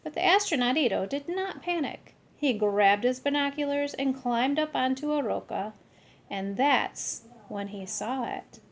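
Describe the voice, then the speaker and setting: A woman reading a children's story aloud in an expressive, animated way with deliberate phrasing. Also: sits high. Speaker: storybook reader.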